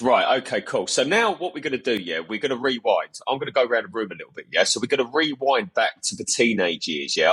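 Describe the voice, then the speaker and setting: A man's voice: lowish voice, fairly bright tone, slightly rough. A male interview host who sums up his guests' answers and keeps the talk moving with upbeat questions. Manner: upbeat, rephrases guests' answers, asks "yeah?". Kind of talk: casual interview.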